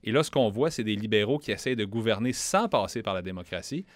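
A man's voice: fairly low pitch, expressive, fast, no echo. A man with a Quebec French accent, a member of parliament giving a casual radio interview, earnest and often repeating words as he starts sentences. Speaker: federal member of parliament, guest.

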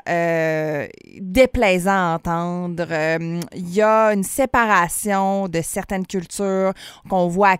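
A radio co-host asks earnest, probing questions. Her voice sounds smooth and resonant, and the studio recording is dry and close. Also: mid-range voice, dry recording.

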